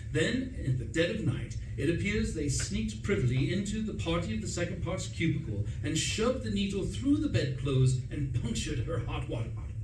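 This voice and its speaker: A man playing a pompous, excitable young upper-class gentleman, indignant and self-satisfied, in a radio play. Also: on the high side, close to an everyday voice. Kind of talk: stage radio play acting with first-person narration. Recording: roomy, distant stage recording, clear noise.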